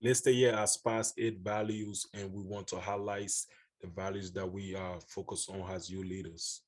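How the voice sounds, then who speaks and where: A teenage boy from Liberia speaking as a youth leader in a soft, measured way. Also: deep voice, level tone. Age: teens. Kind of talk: scripted youth talk.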